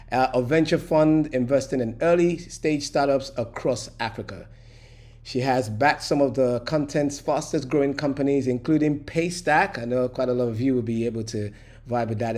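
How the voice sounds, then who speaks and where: A man's voice: mid-range voice, darkish tone. A man hosting an online mentorship session over a video call, chatty, with joking asides and many fillers.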